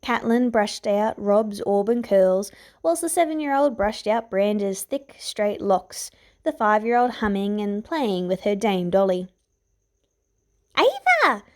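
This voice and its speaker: A woman's voice: medium pitch, measured pace, darkish tone. A woman narrator reading a scripted piece of fiction aloud. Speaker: podfic narrator.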